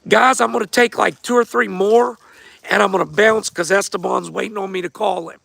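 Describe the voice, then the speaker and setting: A man hosting a casual livestream, answering viewer questions in a hoarse, damaged voice and talking straight to his audience. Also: high-pitched, fairly even intonation, a little fast, fairly bright tone, dry recording. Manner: laid-back, repeats himself, talks straight to viewers. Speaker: host of a fight show answering viewer questions.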